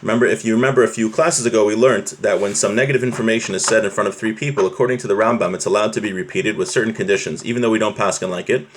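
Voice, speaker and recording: A man with a fairly low voice leads an informal Torah class. He reads from a text and explains it in a conversational way, turning often to his listeners to check they follow. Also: very fast, few pauses, a little hiss or hum. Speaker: religious teacher giving a class.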